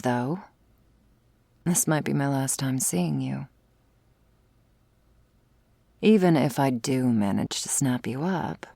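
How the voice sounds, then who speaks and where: Low, gravelly vampire woman, acted, teasing and slow. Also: warm tone, dry recording, full character voice. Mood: teasing, wistful. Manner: teasing, wry, drifts into breathy whispers and sighs. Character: sardonic female vampire, predatory, teasing, secretly wistful. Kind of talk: intimate ASMR roleplay, scripted monologue to listener. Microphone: close, dry studio mic.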